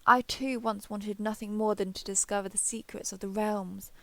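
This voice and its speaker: A woman's voice: mid-range voice, steady tone, normal speed, sharp tone, dry recording. A woman voicing a proud, ancient female dragon in a fantasy roleplay audio, with formal, haughty, archaic phrasing. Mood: thoughtful.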